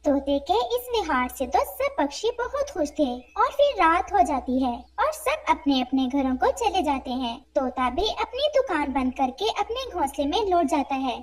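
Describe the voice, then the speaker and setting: A woman's voice: high voice, sing-song, moderate pace, hardly any pauses. A woman reading scripted narration for a children's story as its narrator.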